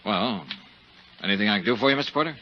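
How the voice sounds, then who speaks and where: An actor playing a tough, shrewd insurance investigator with a sardonic, probing manner, in 1950s radio drama style. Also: male voice, lowish voice, expressive, fast. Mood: probing, suspicious. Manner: sardonic, probing, hard-boiled. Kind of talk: 1950s radio drama acting and first-person narration. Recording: dull 1950s radio recording.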